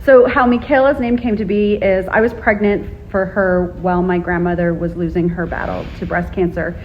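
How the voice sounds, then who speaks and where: Animated woman telling stories, lo-fi sound. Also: lowish voice, moderate pace. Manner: animated storyteller, laughs often. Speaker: author, guest. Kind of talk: casual couple interview. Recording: dull lo-fi sound with background noise.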